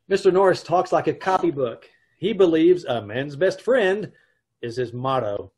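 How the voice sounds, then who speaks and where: A middle-aged actor playing a wry, wisecracking husband who narrates and acts in an old-time radio comedy over a video call. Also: male voice, on the high side, animated, unhurried, a little mellow, slightly rough. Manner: dry, wry.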